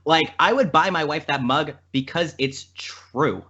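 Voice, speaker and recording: A man's voice: on the high side, unhurried, bright, forceful tone, husky. Dull-sounding man, earnest podcast guest. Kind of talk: casual podcast conversation.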